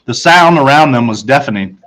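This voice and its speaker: A man reading a children's story as expressive scripted narration, dramatic and with deliberate pauses. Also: medium pitch, slight background noise, rough recording. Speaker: audiobook narrator.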